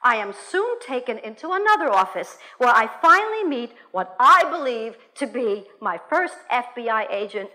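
A woman whose first language is Russian tells a personal story to an audience in English, animated and blunt, swearing freely. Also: on the high side, moderate pace, few pauses.

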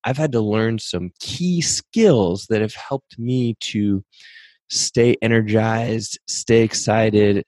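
Hoarse man hosting a podcast. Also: on the low side, no echo. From Pacific Northwest, USA. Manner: upbeat, energetic, pep-talk delivery. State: hoarse, losing his voice. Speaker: podcast host and fitness coach. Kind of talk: casual solo podcast talk with sponsor reads.

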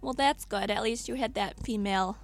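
A woman's voice: medium pitch, fairly quick, dry recording, soft background music. A woman hosting a casual podcast interview, asking questions in a friendly, chatty way with many fillers. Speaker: podcast host.

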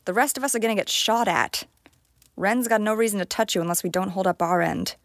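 A woman's voice: medium pitch, brisk, dry recording, clean studio sound, close to an everyday voice. An actress playing a tough, sardonic, foul-mouthed young woman who leads a team in a fantasy audio drama. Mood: firm, reassuring. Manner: blunt, sardonic.

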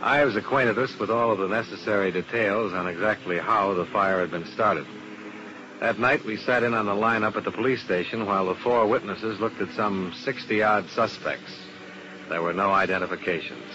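A wry, hard-boiled insurance investigator talks and narrates in a dry, laconic way, played by a man. His voice sits fairly low, and the sound is dull and hissy, like a 1950s radio broadcast. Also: moderate pace, close to an everyday voice. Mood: narrating. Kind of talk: 1950s radio drama, first-person narration.

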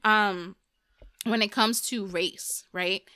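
Blunt, bright-voiced woman podcaster. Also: mid-range voice, fairly even intonation, slow pace, no echo, studio quality. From Dominican and Puerto Rican family, raised in the US. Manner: blunt, emphatic, swears freely. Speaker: podcast host. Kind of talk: casual podcast chat.

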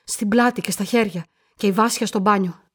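An actress playing a murder victim's shaken sister, giving grave, halting testimony in a radio drama. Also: female voice, medium pitch, breathy edge, close to an everyday voice.

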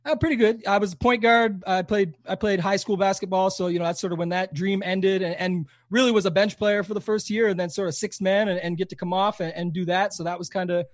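A man's voice: sits high, steady tone, brisk, few pauses, no room sound, clean studio sound. A Canadian man with a Canadian accent, chatting casually as a podcast guest, rambling with lots of "you know". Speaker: podcast guest, fantasy sports player. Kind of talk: casual interview chat.

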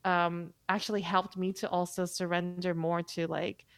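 Woman guest, musician, lowish pitch, thoughtful, hesitant, many fillers. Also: flat delivery, normal speed, smooth, no echo. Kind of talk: casual panel talk.

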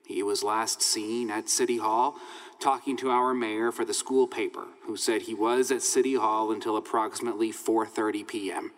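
A man playing an authoritative small-town sheriff in an audio drama, making a firm, measured, folksy announcement. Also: medium pitch, natural voice. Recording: reverberant large room.

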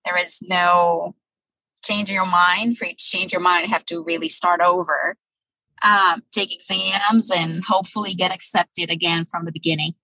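Woman guest in her thirties, bright husky tone, dry close sound, measured answers with fillers. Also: on the low side, steady tone, no room sound, low-quality recording. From Brazil. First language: Portuguese. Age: 30s. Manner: thoughtful, many um pauses. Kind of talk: interview answers.

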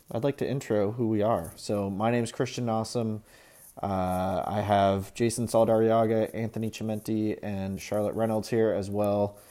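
A man's voice: lowish voice, darkish tone. A man from Seattle hosting a casual chat, talking in rambling, enthusiastic lists. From Seattle, USA. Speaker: podcast host, real estate agent.

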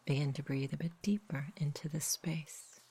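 Low, breathy whispering woman meditation guide. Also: fairly even intonation. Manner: soft, gentle, unhurried. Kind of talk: guided sleep meditation, slow soothing narration.

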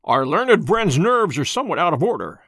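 A man reading the part of a calm, courteous gentleman detective in an audiobook story, measured and polite with dry understatement. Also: a little high, dry recording, full character voice. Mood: wry, thoughtful.

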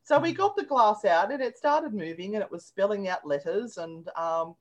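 A woman's voice: mid-range voice, animated, moderate pace, muffled recording. A woman, a guest telling stories in a playful, chatty way and laughing often. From Australia. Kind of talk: casual chat.

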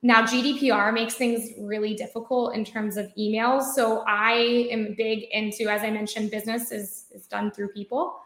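Chatty American woman in an echoey room. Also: on the high side. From United States. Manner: chatty, many fillers and restarts. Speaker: marketing guest. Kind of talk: conversational interview. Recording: roomy echoey sound.

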